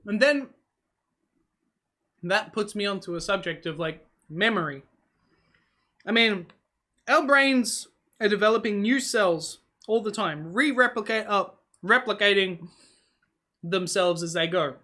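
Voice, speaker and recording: A casual vlogger with Hungarian as a first language, thinking out loud to the camera. The voice is bright and sits in a middling pitch, drifting from thought to thought with frequent "um"s, "like"s and restarts. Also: a little slow, pauses often. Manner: meandering, musing aloud with many fillers and self-corrections; talks while eating on camera. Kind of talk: casual rambling vlog.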